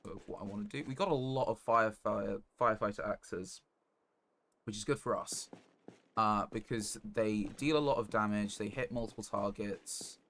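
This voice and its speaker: A man streaming a game live, giving casual commentary in a halting, rambling way as he thinks aloud. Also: fairly low pitch, fairly bright tone. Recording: noticeable background noise.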